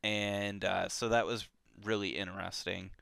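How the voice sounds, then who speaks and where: Book club co-host, male; bright, unhurried, hesitant casual talk with lots of restarts. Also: lowish voice, vocal fry, clear, resonant tone, no echo, clean studio sound. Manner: relaxed, hesitant, many fillers and restarts.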